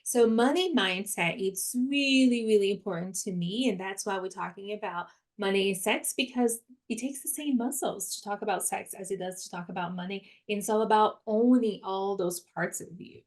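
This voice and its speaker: Brazilian-accented woman coach, animated and laughing, telling her story as an interview guest. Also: medium pitch, moderate pace, hardly any pauses. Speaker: money mindset coach. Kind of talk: podcast interview, personal story.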